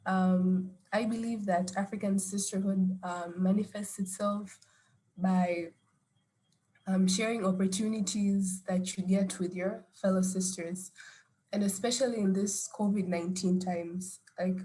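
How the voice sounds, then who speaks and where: A woman with a Tanzanian accent giving an informal talk in a hesitant, halting way. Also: medium pitch, steady tone, warm tone, poor audio. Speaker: speaker at an online women's gathering.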